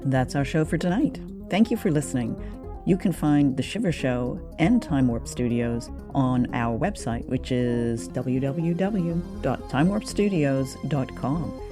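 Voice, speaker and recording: A woman's voice: very deep voice, expressive, a little slow, music in the background. A woman co-hosting a radio show, chatting casually and conversationally as she reviews drama.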